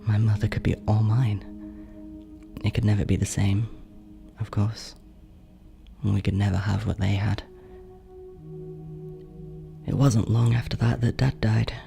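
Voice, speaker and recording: A man acting a gravelly, bitter, confessional brother. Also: fairly low pitch, flat delivery, dark tone, soft background music. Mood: bitter, melancholy. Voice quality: gravelly, creaky. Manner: hesitant, confessional, halting, drops toward whispers. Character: jealous, guilt-ridden older brother confessing dark resentment. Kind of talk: horror audio drama monologue.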